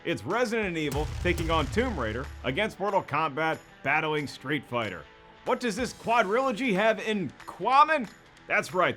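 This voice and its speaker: A man with a highish, forceful voice delivers scripted comedic hype as a show host. His pitch swings widely in a theatrical, tongue-in-cheek announcer manner, with music playing behind him. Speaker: host of a movie face-off web show.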